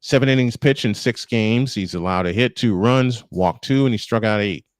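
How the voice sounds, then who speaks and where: A man's voice: fairly low pitch, normal speed, dry recording, studio quality. A man doing live baseball play-by-play, mixing commentary with casual banter as host.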